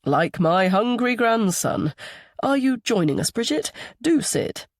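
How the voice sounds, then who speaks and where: A genial older man, a gracious grandfather who likes to joke acted by a woman reading an audiobook. His delivery is animated and welcoming, in a close, dry recording. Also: a little high, measured pace, no room sound, voice pushed up, heavily acted. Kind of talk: audiobook character dialogue.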